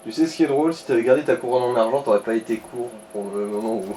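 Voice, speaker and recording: A man's voice: mid-range voice, very fast, warm tone, slight background noise. A man chatting casually as co-commentator while watching someone play a game. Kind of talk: casual chat over gameplay.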